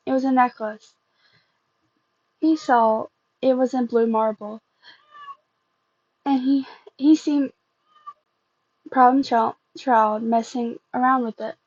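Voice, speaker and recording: A woman reading fan fiction aloud as an amateur, in a halting way, often stumbling and repeating phrases. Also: fairly high pitch, a little mellow, no room sound, highs cut off.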